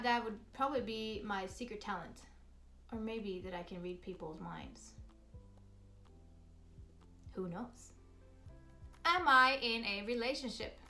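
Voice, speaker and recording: A woman's voice: on the high side, sharp tone. A woman in her thirties from Sweden with a Swedish accent, chatting upbeat and bubbly through a vlog Q&A. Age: 30s. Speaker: vlogger. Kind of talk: casual Q&A vlog.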